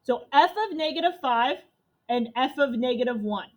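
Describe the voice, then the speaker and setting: Loud-voiced woman teaching a math lesson. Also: high voice, lively intonation, normal speed, poor audio. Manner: step-by-step explaining to students.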